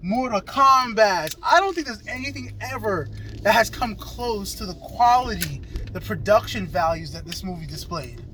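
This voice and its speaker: A man with a very high voice hosts a casual movie review. He talks in a chatty way and often looks to his companion for agreement. He is recorded inside a moving car, with light road noise around him. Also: expressive, moderate pace. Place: inside a car while driving.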